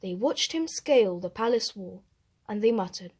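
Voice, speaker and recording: A woman storyteller reading a rhyming children's story aloud, expressive, with deliberate emphasis. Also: medium pitch, breathy edge.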